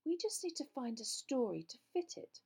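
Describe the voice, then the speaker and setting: A woman's voice: sits high, sing-song, moderate pace, dark tone, breathy edge, pitched above the performer's own voice, heavily acted. A woman voicing a smug, cold-hearted female tabloid reporter in a gushing, condescending way for a story reading. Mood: scheming.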